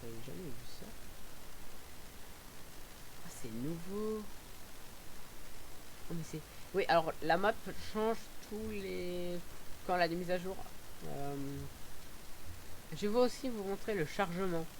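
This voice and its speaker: A young man talks through a driving game in a loose, rambling way, with lots of fillers and restarts. His voice sits a little high. Faint hiss and engine sounds from the game run underneath. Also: unhurried, pauses often, rough recording. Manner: excitable whoops while driving. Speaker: gaming YouTuber. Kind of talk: casual gameplay commentary.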